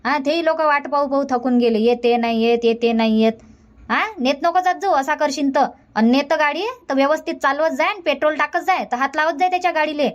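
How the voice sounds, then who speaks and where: A woman's voice: high-pitched, a little fast, lightly acted. A woman voicing a middle-aged village aunt with a Vidarbha Marathi accent, who lectures and scolds in long run-on stretches. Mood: scolding.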